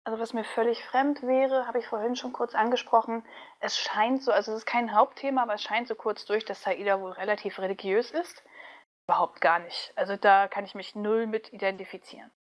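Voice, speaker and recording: Woman w a highish voice, chatty interview guest, kinda animated, casual talk. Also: brisk.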